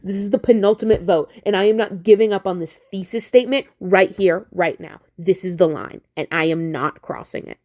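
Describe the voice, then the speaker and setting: A woman's voice: medium pitch, fairly quick, hardly any pauses, a little mellow. A woman delivering a prepared, persuasive argument in an emphatic, impassioned way with blunt swearing. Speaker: listener sending in a voice message.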